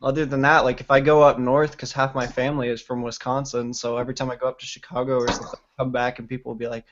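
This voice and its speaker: A laid-back man from Texas rambles through casual gaming talk, slangy and easygoing. His voice sits at a middling pitch. The stream audio is dull and lo-fi, with a clear hiss of noise behind him. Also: level tone, fast talker.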